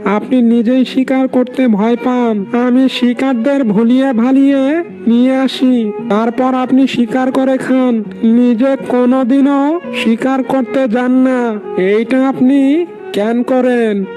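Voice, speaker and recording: A performer voicing a sly, scheming fox who serves a lion in an animal cartoon, wily and drawn-out, over music. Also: high voice, steady tone, rough recording. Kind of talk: animal fable cartoon voice acting. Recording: faint music behind the voice.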